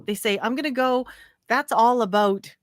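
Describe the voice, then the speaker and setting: A woman's voice: fairly high pitch, normal speed, no echo. A woman co-hosting a casual live chat, bubbly and giggly, often trailing off mid-sentence.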